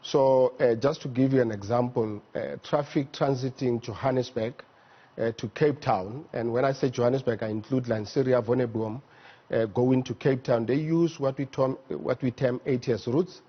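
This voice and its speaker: A man with a South African accent explaining things methodically and haltingly as an expert guest in a studio interview. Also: medium pitch, highs cut off. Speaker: air traffic management executive, interview guest. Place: TV news studio.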